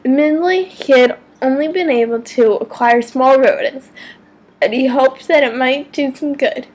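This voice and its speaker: A woman giving a mock-dramatic amateur story reading, theatrical and deliberate, now and then stumbling over words. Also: high-pitched, a little mellow. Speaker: amateur reader of fan fiction.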